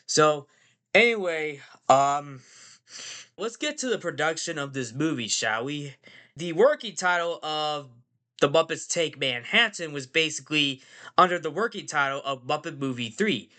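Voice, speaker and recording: A man's voice: fairly high pitch, animated, unhurried, sharp tone. Nasal man with a cold, rambling. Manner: rambling, repeats phrases and fillers. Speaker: podcast host. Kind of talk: casual movie review podcast.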